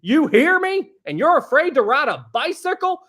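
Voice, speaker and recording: A man's voice: extremely high pitch, sing-song, moderate pace, sharp tone. Chatty man in his thirties. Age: 30s. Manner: chatty, laughs often, reads chat aloud with playful bursts. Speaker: live stream host. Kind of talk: casual live stream chat reading viewer comments.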